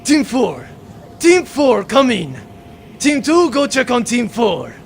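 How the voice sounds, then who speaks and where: An actor playing a short-tempered, sarcastic space pirate captain in a sci-fi audio drama, making florid, menacing threats. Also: male voice, very high voice, huge pitch swings, takes it slowly, creaky, soft background music, heavily acted. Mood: barking orders.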